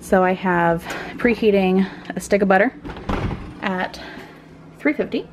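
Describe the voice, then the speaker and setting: A woman vlogging casually while sick, her voice raspy and hoarse, tired and short of breath, with small laughs between sentences. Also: mid-range voice, unhurried, noisy background. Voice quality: raspy, weak voice. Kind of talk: casual vlog chat.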